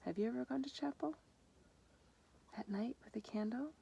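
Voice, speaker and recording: A woman's voice: fairly high pitch, normal speed, creaky, warm tone, breathy edge, highs cut off. A woman reading a children's picture book aloud, explaining things simply and speaking directly to young listeners. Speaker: storybook reader.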